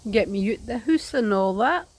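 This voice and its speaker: A man's voice: high-pitched, lively intonation, moderate pace, pitched above the performer's own voice. A man reading aloud, voicing a suspicious, grudging older woman. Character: suspicious, grudging old spinster.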